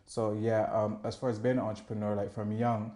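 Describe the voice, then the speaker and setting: A man's voice: lowish voice, little pitch movement, fairly quick, mellow. A man with a Bajan accent giving a casual interview as a musician, informal and often rephrasing himself. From Barbados. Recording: roomy, echoing interview room.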